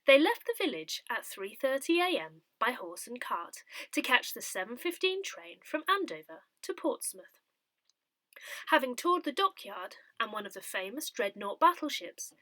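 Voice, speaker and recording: A woman narrates from a script in measured, clearly separated phrases. Her voice is bright and forward, with very lively rises and falls in pitch. The recording is close and dry, with little room sound. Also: dry recording. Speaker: reader of an audio newsletter. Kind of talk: scripted narration, reading a parish newsletter aloud.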